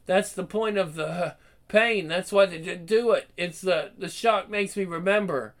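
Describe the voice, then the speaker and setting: A man's voice: high voice, normal speed, close to an everyday voice. A delirious, terrified prisoner, acted by a man, who stammers and trips over his words. His speech is fragmented and broken. He rambles in a frightened, feverish way. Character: delirious male prisoner under torture, rambling.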